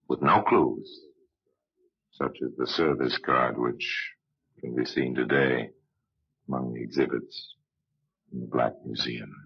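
A man acting as a sinister, knowing crime-story narrator in an old-time radio drama, speaking deliberately. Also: very deep voice, gravelly, husky, heavily acted. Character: ominous, knowing male narrator of true crime tales. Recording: dull old radio recording.

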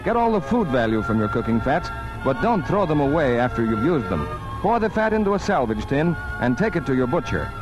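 A man working as a 1940s radio announcer, reading a script in a crisp, persuasive announcer's delivery. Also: mid-range voice, very animated, a little fast, low-quality recording. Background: music.

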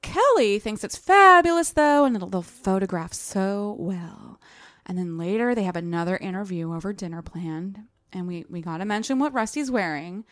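A woman's voice: mid-range voice, animated, moderate pace, a bit bright, no room sound. A woman co-hosting a book podcast, talking casually and informally with plenty of friendly banter. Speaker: co-host of a book discussion podcast. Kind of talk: casual, conversational book recap.

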